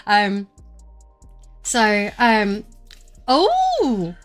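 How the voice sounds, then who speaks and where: A woman's voice: medium pitch, huge pitch swings, very slow, faint music behind. A woman in her thirties with an Estuary English accent, chatting casually to her live-stream audience from a room at home, rambling with lots of fillers and restarts. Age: 30s.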